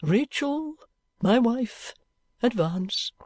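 A man voicing an oily, pompous male preacher who asks slow, drawn-out rhetorical questions. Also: sits high, big pitch swings, a little mellow, no room sound, pitched above the performer's own voice, full character voice. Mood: unctuous.

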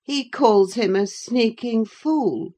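Woman doing a whiny spoiled sickly boy in a storybook, highish voice, needy, petulant, spiteful. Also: slow pace, mellow. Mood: spiteful, taunting. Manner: petulant, wheedling. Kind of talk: dramatised audiobook reading of a classic novel.

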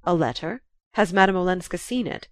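A female narrator plays a young gentleman in a literary audiobook. He sounds reserved, polite and a little awkward. The voice sits fairly low and is recorded very dry. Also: a little fast, dry recording, close to an everyday voice. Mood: shocked. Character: reserved, embarrassed young gentleman, easily startled.

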